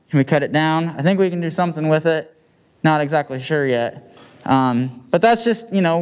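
Clear, higher-pitched Midwestern American man. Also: darkish tone. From United States. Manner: plain-spoken, colloquial. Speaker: farmer presenting at a conference. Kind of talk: informal conference presentation. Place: indoor meeting room with seated audience. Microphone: handheld microphone.

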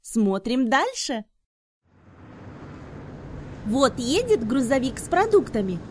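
Female narrator, toddler learning cartoons: high voice, unhurried, enthusiastic, clearly enunciated scripted read. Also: very animated, darkish tone, slight background noise. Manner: enthusiastic, instructive, carefully enunciated. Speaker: narrator of children's educational cartoons.

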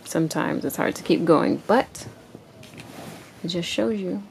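A woman reading a picture book aloud to a child at home and asking instructive questions in a deliberate way. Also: lowish voice, vocal fry, slight background noise. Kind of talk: expressive read-aloud and gentle questioning.

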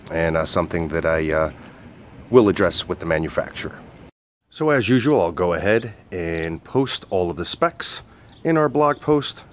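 A man reviewing gear in an informal, hesitant, step-by-step instructional way, as a casual demonstration. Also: low voice. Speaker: product reviewer and outdoor coach.

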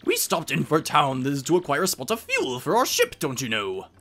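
A man voicing a fretful, genteel elderly male Toad steward in a video game, with pompous, huffing gentlemanly phrasing. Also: a little high, huge pitch swings, normal speed, heavily acted. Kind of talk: video game dialogue voice acting. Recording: game music behind the voice.

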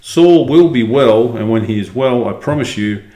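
A man's voice: medium pitch, expressive, normal speed, warm tone, lightly acted. A man plays an earnest, caring older man in a story read aloud. His speech is emphatic and pleading. The room echoes around him. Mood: reassuring. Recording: roomy, echoing sound.

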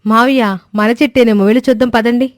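A woman's voice: a little high, steady tone, fast talker, no echo, lightly acted. Woman acting earnest young daughter-in-law, dry sound. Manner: earnest, pleading. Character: cheerful, pleading, stubborn young village daughter-in-law. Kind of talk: cartoon moral-story voice acting.

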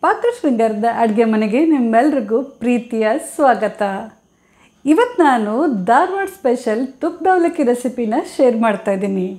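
A middle-aged woman hosting a cooking channel, giving friendly, clear step-by-step recipe instructions from her home kitchen on a lapel mic. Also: on the high side, dark tone, dry recording.

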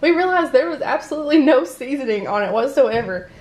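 A woman's voice: sits high, lively intonation, brisk, darkish tone. A woman, chatting casually through a grocery haul at home, rambling and laughing often. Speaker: family vlogger. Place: home dining room, indoors.

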